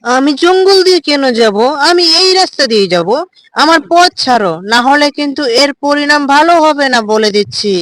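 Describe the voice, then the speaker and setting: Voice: animated, brisk, a bit bright, no room sound. A voice actor playing a dutiful young unmarried village woman in a cartoon, earnest and pleading. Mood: defiant. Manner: earnest, pleading, somewhat shrill. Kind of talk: cartoon voice acting.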